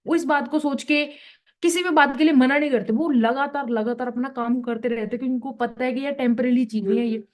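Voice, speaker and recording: Young woman, fairly high voice, slightly sore throat, chatty motivational team talk. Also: steady tone, a little fast. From Punjab, India. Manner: chatty and persuasive, mixing in English phrases. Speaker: team leader giving a training session.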